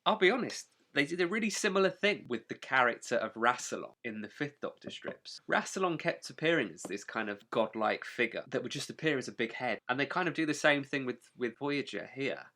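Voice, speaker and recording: A man chatting casually as a podcast co-host, explaining things in a wry, animated way. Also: medium pitch, a little fast, few pauses. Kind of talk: casual chat.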